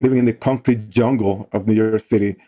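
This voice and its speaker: Dominican-accented man with a mellow low voice. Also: fairly quick. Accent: Dominican Spanish. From Dominican Republic. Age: 40s. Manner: animated, frequent restarts and laughs. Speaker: guest, mentorship program co-founder. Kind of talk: conversational interview storytelling.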